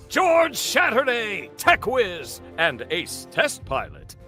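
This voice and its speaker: A man's voice: very high voice, huge pitch swings, extremely slow, bright, forceful tone, soft background music. A man narrating a comic book table read in a dramatic, announcer-like cartoon style.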